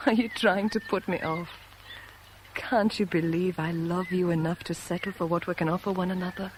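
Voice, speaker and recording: A woman's voice: on the low side, fairly even intonation, moderate pace, a little hiss or hum, close to an everyday voice, poor audio. An actress playing a devoted, troubled woman in love, speaking with earnest intimacy in a 1970s radio drama. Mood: loving, reassuring.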